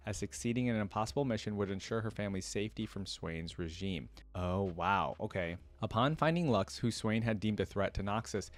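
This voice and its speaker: A man's voice: lowish voice, no echo. A man hosting a reaction video, reading aloud and breaking into informal, rambling casual commentary. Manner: informal, rambling asides between read passages.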